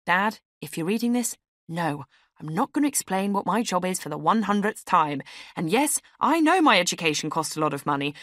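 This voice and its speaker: A woman's voice: on the low side, no echo, studio quality. Woman putting on a brittle, falsely cheery British young woman. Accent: British English. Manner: arch, over-bright, sarcastic emphasis. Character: brittle, falsely cheery young female Christmas-newsletter writer. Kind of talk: satirical audiobook monologue as a round-robin email.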